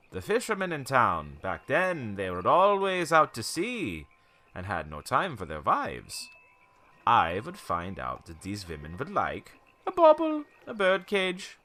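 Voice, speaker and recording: A man's voice: on the high side, sharp tone, exaggerated voice. An actor playing a wary, cynical old townsman who tells cryptic stories in a radio play, in a theatrical, rambling way. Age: older. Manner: cryptic, theatrical, rambling storytelling with knowing chuckles. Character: wary, cynical old male townsman, former shopkeeper, storyteller.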